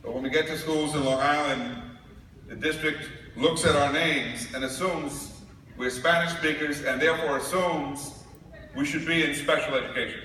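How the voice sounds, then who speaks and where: A man's voice: fairly high pitch, steady tone, normal speed, bright, forceful tone. A man from New York giving a conference keynote speech, telling stories with humor. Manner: storytelling with humor. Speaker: keynote speaker. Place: large conference hall. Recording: echoey hall recorded from far off, noisy.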